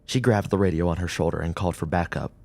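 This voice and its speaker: A man's voice: deep voice, fast, vocal fry, a little mellow, no room sound. A man reading horror fiction as a dramatic, suspenseful narrator who often drops toward a whisper.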